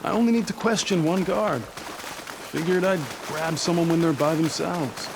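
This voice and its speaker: A man's voice: on the high side, measured pace, gravelly, noisy background. An actor playing an earnest, guilt-worn male fugitive in a naturalistic video game performance, somber in manner. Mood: determined.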